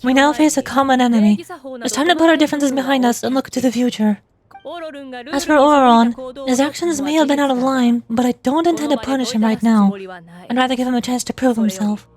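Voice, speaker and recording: Composed high-voiced female leader, played by an actress. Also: crowd noise, close to an everyday voice. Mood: formal, resolute. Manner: formal, measured. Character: composed, formal female leader, diplomatic and commanding. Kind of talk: video game voice acting.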